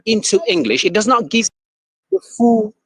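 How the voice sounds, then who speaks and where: A man's voice: sits high, normal speed, husky, no echo, studio quality. A man calling into a live online religious debate, arguing and pressing to be allowed to speak. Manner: pleading for the floor, argumentative. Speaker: debate caller.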